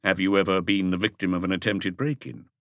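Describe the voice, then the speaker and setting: A male narrator plays a patient, methodical detective in an audiobook mystery. The voice is on the low side, formal and deliberate, with a probing firmness. It sounds dry and close. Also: fairly bright tone, dry recording. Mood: measured, firm. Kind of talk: audiobook character dialogue.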